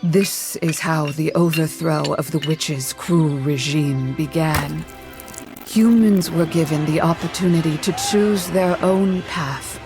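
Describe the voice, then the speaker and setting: An actress playing a solemn, wise seer mentor, speaking deliberately in a fantasy video game. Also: female voice, low voice. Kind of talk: solemn scripted game cutscene narration. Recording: loud music behind the voice.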